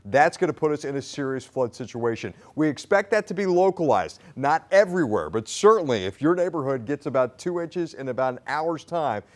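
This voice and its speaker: A man with an American accent and a middling pitch reports on the weather for television. His delivery is explanatory, in standard broadcast style.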